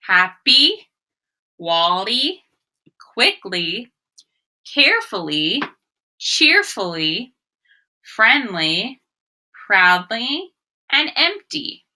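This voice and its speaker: Bright, expressive woman teaching young children. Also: a little high, extremely slow, long pauses, poor audio. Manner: expressive, sing-song, addressing children with questions. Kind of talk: read-aloud story and phonics lesson for children.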